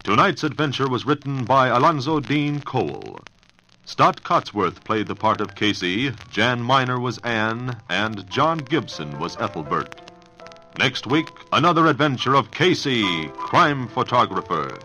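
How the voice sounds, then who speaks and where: A man's voice: medium pitch, big pitch swings, measured pace, poor audio. A man announcing in the scripted style of a 1940s radio show. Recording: old radio recording, loud music.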